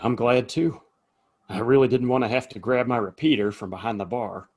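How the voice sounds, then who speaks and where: A man plays a weary saloon keeper in an Old West radio drama. He has a mid-pitched voice and talks in a folksy, plain, exasperated way. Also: fairly even intonation, fairly quick, darkish tone, lightly acted. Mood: grateful, weary. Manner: folksy, exasperated, straight-talking. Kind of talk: audio play acting, western.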